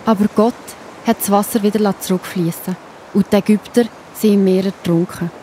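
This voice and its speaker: A woman with a Swiss accent narrating Bible stories for children in an expressive, enthusiastic, simple way. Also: medium pitch, normal speed, slight background noise. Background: music behind the voice.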